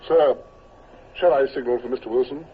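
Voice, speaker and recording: Actor as a dutiful naval officer, tinny radio. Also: male voice, mid-range voice, a little fast, lightly acted. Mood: solemn, respectful. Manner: deferential, formal. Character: dutiful, respectful male naval captain, second to the commander. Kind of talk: 1950s radio drama acting. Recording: tinny, noisy old radio recording.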